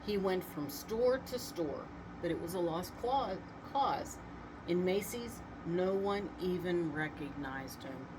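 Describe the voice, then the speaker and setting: Slow-paced woman reading a storybook. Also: lowish voice, animated, noisy background. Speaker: storybook reader. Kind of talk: expressive read-aloud storytelling with asides.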